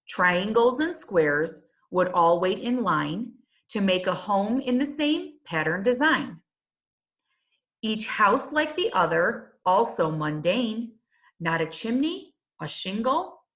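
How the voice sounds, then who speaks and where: A woman reading a rhyming children's storybook aloud, in a measured and expressive teacher's manner. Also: mid-range voice.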